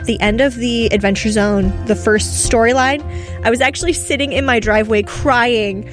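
A woman with a fairly high voice talks casually and with enthusiasm about something she likes. Instrumental music is clearly heard behind her. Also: normal speed. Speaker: podcast fan giving a recommendation. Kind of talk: casual spoken recommendation.

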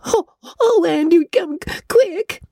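A woman playing a frightened little girl, tearful and shaky as she pleads. The voice climbs very high and wavers. It is recorded close and dry. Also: animated, takes it slowly, mellow, no echo, exaggerated voice.

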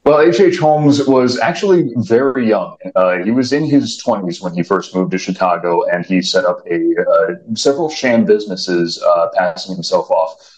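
A man's voice: deep voice, expressive, normal speed, a little mellow. An actor, a man, explaining things as an interview guest, with muffled, roomy sound.

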